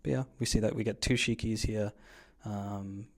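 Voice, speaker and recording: Man, fairly low voice, casual gameplay commentator. Informal, slangy, explains each play as a fellow player. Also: steady tone, moderate pace. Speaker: card game player commentating his own match.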